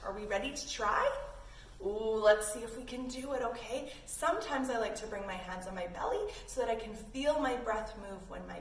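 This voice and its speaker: A woman instructing young children in yoga in a playful, encouraging way. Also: medium pitch. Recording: roomy, distant mic, faint noise.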